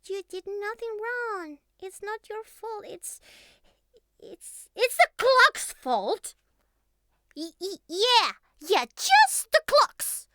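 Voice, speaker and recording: A woman's voice: extremely high pitch, big pitch swings, takes it slowly, pushed and bright, dry recording, exaggerated voice. A woman voicing a young catgirl who was once a house cat, naive, clingy and earnest, with a childlike, hesitant, pleading way of talking in an intimate ASMR roleplay. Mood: tender, pleading. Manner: childlike, hesitant, pleading, slightly formal phrasing.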